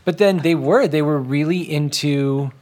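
An American man, a writer being interviewed, recalling events in a halting, self-deprecating, casual way. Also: fairly high pitch, darkish tone. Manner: halting, self-deprecating, acts out remembered remarks.

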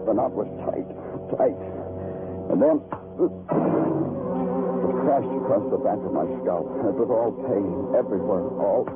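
An actor playing a weary, tough police lieutenant with clipped, deadpan delivery in a 1950s radio crime drama. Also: male voice, on the low side, measured pace, pauses often, natural voice. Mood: grim, tense. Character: weary hard-boiled male police lieutenant, tough and terse. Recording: muffled vintage radio recording, music behind.

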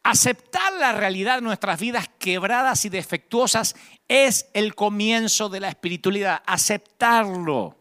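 A man's voice: high-pitched, lively intonation, measured pace, bright, forceful tone. A man preaching in a conversational evangelical style, emphatic and rhetorical, repeating key phrases for effect, with wry asides.

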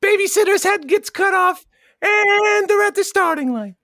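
A woman voicing an odd, dim little Boston boy with childish, simple, deadpan statements in improvised comedy. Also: very high voice, moderate pace, no room sound, studio quality. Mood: excited, earnest. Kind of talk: improvised comedy character interview.

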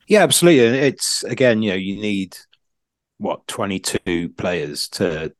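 A man chatting casually as a football pundit, thinking aloud in a halting, circling way. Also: on the low side, sing-song, no room sound.

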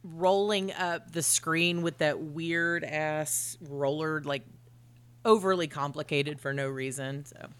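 A woman's voice: sits low, fairly even intonation, takes it slowly, smooth. A woman co-hosting a casual chat, adding dry, wry comments. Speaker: podcast co-host.

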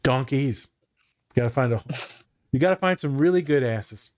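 A man hosting a podcast, a therapist, talking in a relaxed, chatty, joking way. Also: medium pitch, normal speed. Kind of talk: casual podcast chat.

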